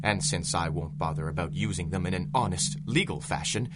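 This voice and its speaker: An actor playing a pompous, gloating criminal mastermind in a 1930s-style radio drama, mocking and grandiloquent. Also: male voice, low-pitched, a little fast, very noisy, natural voice.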